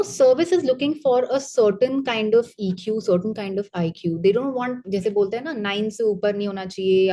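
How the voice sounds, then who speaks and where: A woman's voice: medium pitch, warm tone, slight background noise. Candid Indian woman giving advice. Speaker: retired coast guard officer, interview guest. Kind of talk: conversational interview, mixing English and Hindi.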